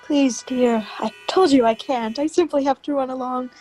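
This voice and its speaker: A woman's voice: high-pitched, moderate pace, warm tone, faint music behind. A woman playing a nervous, polite, evasive character who hides her dread in an old-time radio drama. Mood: evasive, uneasy. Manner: hesitant, uneasy, polite.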